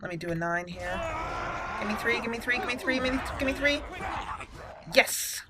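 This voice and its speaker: A woman's voice: a little high, expressive, normal speed, over loud music. A woman hosting a gaming channel, giving casual roleplay commentary as she plays. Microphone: voice-over over game audio.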